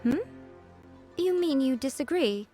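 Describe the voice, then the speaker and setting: A sly fox-spirit priestess. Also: female voice, sits high, expressive, measured pace, over music. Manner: teasing, composed, knowing. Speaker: shrine head priestess. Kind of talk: video game voice acting.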